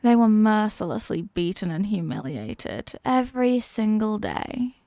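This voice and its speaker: A woman playing a menacing, mocking demon villainess in a fantasy audio roleplay, theatrical and taunting, with deliberate dramatic pauses. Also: mid-range voice, animated, gravelly, warm tone, no echo, clean studio sound. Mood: taunting storytelling. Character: possessing demon villainess, menacing, mocking, tormented by borrowed love.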